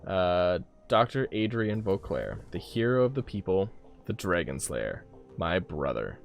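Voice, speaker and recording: A man's voice: low voice, big pitch swings, a little slow, vocal fry, soft background music, full character voice. A man voicing a weary, stubborn middle-aged whiskey drinker from a video game, reading his bitter dialogue aloud. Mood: weary, wistful.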